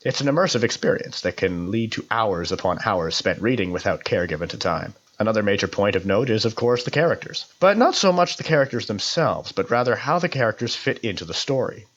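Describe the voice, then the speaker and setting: A man with a fairly low voice delivers a prepared review. His wording is formal and his delivery is measured, like a narrated segment on a hobby podcast. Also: very animated. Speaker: podcast contributor giving a review. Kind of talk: scripted narration, visual novel review.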